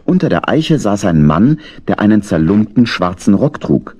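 A man's voice: lowish voice, sing-song, normal speed, dark tone, no echo, clean studio sound. A man narrating a children's story in an audio drama as a scripted storyteller.

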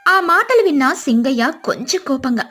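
A woman's voice: sits high, big pitch swings, moderate pace, pushed and bright, faint music behind. A woman storyteller reading scripted narration of a moral tale.

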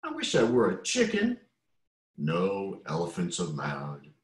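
A man voicing a big, humongous chick in a storybook, a mild and puzzled youngster who speaks softly and reassuringly. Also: low-pitched, huge pitch swings, a little slow, roomy sound, full character voice. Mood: gentle.

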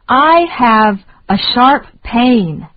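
A woman reading short phrases slowly and deliberately for learners to repeat. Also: fairly high pitch, animated, no room sound, highs cut off. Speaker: English lesson reader.

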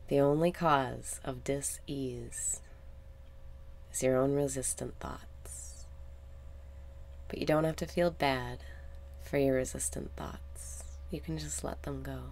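A woman leading a guided meditation in a calm, soothing manner, with soft, sometimes breathy phrasing and deliberate pauses. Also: very deep voice. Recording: background noise with ambient sound.

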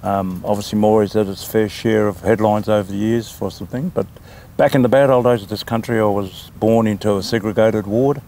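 An older Australian man from New South Wales, a land council chairman answering interview questions in a talkative, unhurried way with frequent ums. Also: on the low side, fairly even intonation, vocal fry, warm tone, a little hiss or hum. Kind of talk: casual interview.

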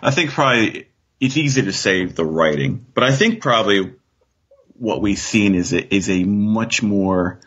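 A man with a fairly low, husky voice talks casually as a guest on a discussion show. He feels his way through his points and often checks for agreement. The audio is a little dull. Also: expressive, normal speed, fairly bright tone. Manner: tentative, often seeking agreement with "right?". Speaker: guest author.

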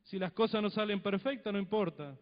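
A man's voice: sits high, brisk. A middle-aged man with a Cuyo Argentine accent preaching a sermon on a handheld mic in a church hall, chatty, with frequent tag questions. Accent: Cuyo Argentine Spanish. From Mendoza, Argentina. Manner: conversational preaching, tag questions, acts out little dialogues. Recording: dull lo-fi sound, long hall reverb.

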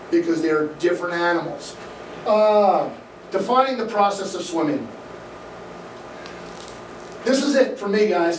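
A middle-aged Midwestern man presents to a live crowd in a dim event room, speaking energetically and conversationally, filling pauses with "uh". His fairly high voice reaches the camera from a distance and sounds roomy. A faint hum sits behind him. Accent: Midwestern American. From American Midwest. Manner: energetic, gesturing, conversational with fillers. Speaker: swim coach giving a talk. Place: dim indoor event room, in front of a projection screen. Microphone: room camera from the audience, no mic seen. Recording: echoey room, voice from afar, faint noise.

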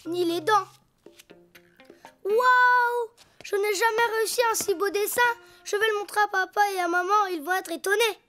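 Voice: extremely high pitch, normal speed, soft background music. A performer voicing a cheerful, imaginative little boy donkey in a children's cartoon, chatty and childlike. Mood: excited, proud. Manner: childlike, talking to himself, expressive.

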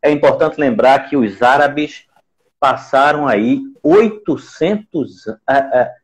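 A man in his forties with a Recife accent hosts a live online conversation. He speaks didactically at a middle pitch and repeats phrases to make his point. He is on an earphone mic in a room at home. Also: slow. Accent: Recife, northeastern Brazilian. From Pernambuco, Brazil. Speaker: live stream host. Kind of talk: conversational interviewing on a live stream. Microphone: earphone mic on a video call.

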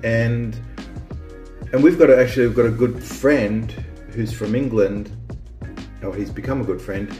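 A man's voice: mid-range voice, a little slow, warm tone, soft background music. Rambling Australian-raised man, echoey room. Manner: rambling, many restarts and ums. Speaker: vlog host. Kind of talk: casual chat.

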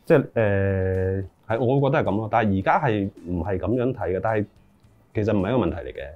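Low-voiced middle-aged Hong Kong man. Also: expressive, quick pace, dull audio. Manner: informal, slangy, mixes in English words. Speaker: lyricist, interview guest. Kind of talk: casual interview chat. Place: small room indoors, seated group.

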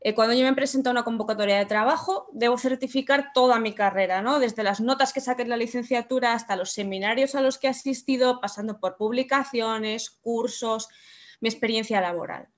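A young woman with a fairly high voice lectures over a webcam from her room at home. She keeps it conversational and keeps throwing rhetorical questions at her listeners. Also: a little fast. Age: young adult. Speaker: speaker giving a talk. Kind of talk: online conference talk.

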